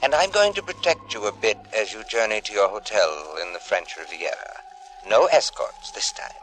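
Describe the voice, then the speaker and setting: An actor playing a sardonic narrator who slyly needles the heroine in a 1950s radio drama. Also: male voice, lowish voice, big pitch swings, moderate pace, fairly bright tone, rough recording. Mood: sly, mocking. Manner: sly, mocking, addresses the character directly. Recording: vintage radio recording with music behind. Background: music.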